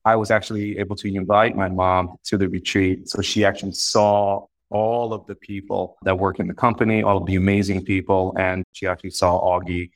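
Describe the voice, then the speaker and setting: Guy from northeast china, bit low voice, earnest and reflective, keeps restarting, interview chat. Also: level tone, brisk, few pauses. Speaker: guest, company founder. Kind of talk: casual interview conversation.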